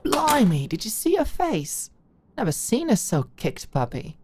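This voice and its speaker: A woman's voice: fairly high pitch, huge pitch swings, unhurried, darkish tone, husky, no room sound, heavily acted. A woman playing a blunt, shrewd older British executive in an audio roleplay, talking in a brash, slangy, commanding way. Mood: amused, blunt. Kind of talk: scripted audio roleplay monologue.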